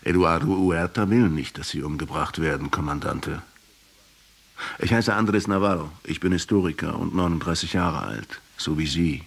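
A man playing a pensive middle-aged historian who narrates a radio drama in a husky, reflective, measured way. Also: deep voice, heavily acted. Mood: quiet, earnest. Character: pensive 39-year-old male historian questioning a captive.

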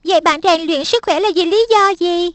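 A woman's voice: extremely high pitch, moderate pace, no echo. Woman voicing a chatty little schoolgirl. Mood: bright curious. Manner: eager, teasing questions. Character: cheerful schoolgirl classmate, curious and chatty. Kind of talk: cartoon dubbing.

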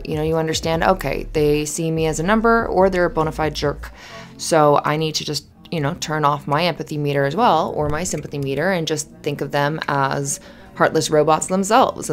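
A woman playing a flustered, friendly young café barista in an ASMR roleplay, chatty and halting in a natural conversational way. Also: low-pitched. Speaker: voice actor in audio roleplay.

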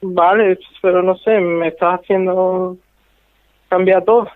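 A man's voice: high voice, steady tone, unhurried, no room sound. A young man on a phone call, speaking hesitantly and repeating himself. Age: young adult. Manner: hesitant, repetitive. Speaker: prank call victim. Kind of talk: phone conversation.

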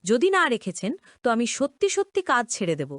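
A woman's voice: on the high side, sing-song, fast talker, dry recording, studio quality. A woman playing an outspoken, hard-working young housemaid in a cartoon drama, complaining in an emphatic, insistent way. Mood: insistent, indignant.

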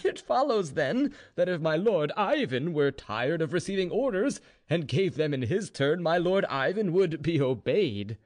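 A man doing a high-pitched, mocking tavern keeper. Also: big pitch swings, a little slow, hardly any pauses, darkish tone. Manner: taunting, exclamatory. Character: mocking, goading tavern keeper plying a drunkard.

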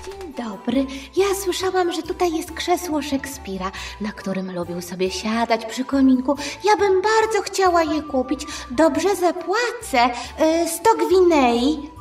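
A woman voicing an excitable, insistent aristocratic princess in a children's story. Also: sits high, unhurried, pitched above the performer's own voice. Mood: eager, polite. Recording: echoey, distant, music behind.

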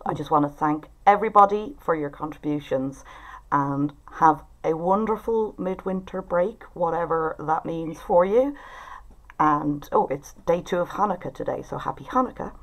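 Woman, Irish accent, low-pitched, informal group host, video call. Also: moderate pace. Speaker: writers' group facilitator and event host. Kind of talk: informal hosting of an online group discussion. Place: indoors at home.

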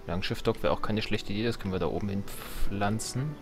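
A man's voice: fairly low pitch, fairly quick. A man giving casual Let's Play commentary on a strategy game, colloquial and playfully exasperated, half talking to himself. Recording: game music behind the voice. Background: video game music.

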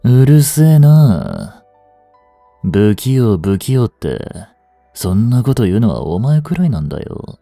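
An actor playing a rough, cocky mafia boss with hidden tenderness in an intimate audio drama, gruff and teasing in a drawl. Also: male voice, medium pitch, big pitch swings, slow pace, mellow, dry recording. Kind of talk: intimate Japanese-style dramatic voice acting aimed at women listeners. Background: music.

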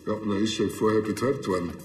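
A man's voice: on the low side, fairly even intonation, fast, faint music behind, close to an everyday voice. An actor playing a dry, stubborn policeman with a Bavarian accent in a TV crime comedy. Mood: musing. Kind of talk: naturalistic TV comedy acting. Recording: echoey room, faint noise.

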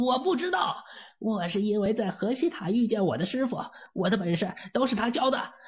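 A man's voice: high-pitched, darkish tone, slightly rough, highs cut off, voice pushed up, exaggerated voice. A man voicing a terrified cultivator villain who pleads and stammers, in an audiobook. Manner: fearful, stammering.

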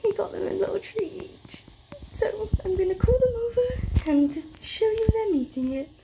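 A woman's voice: extremely high pitch, lively intonation, normal speed, vocal fry, background noise. A young British woman vlogging at home in a rambling, chatty way, stressed and close to tears. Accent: British English. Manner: rambling, chatty, with many fillers and run-on stories. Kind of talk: casual chat to camera. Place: at home, indoors.

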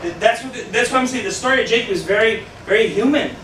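Stuffed-up pastor in an echoey room. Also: male voice, high voice, fast talker, a bit bright, slight background noise. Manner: conversational, asks the audience questions, gestures widely. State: stuffed up nose. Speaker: youth pastor. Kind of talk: casual youth sermon. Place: small meeting room with a youth group. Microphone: far camera, no mic. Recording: echoey room from a distant camera.

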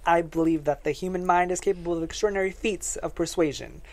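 A woman plays a sceptical psychologist with a haunted streak in a dramatic table read. The voice sits fairly low, and the delivery is measured, hesitant and matter-of-fact. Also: darkish tone, close to an everyday voice. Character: sceptical, haunted academic psychologist called Doctor Flynn. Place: small podcast studio. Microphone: headset.

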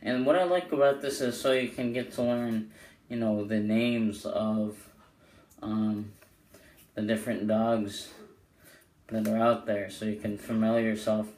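A young man with a hoarse voice giving a casual, rambling product review to camera as the host. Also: lowish voice, fairly even intonation, measured pace, a little mellow. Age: young adult. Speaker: host of playing card deck reviews. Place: small bedroom, indoors. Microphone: camera mic a short way off. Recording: roomy echo, clear background noise.

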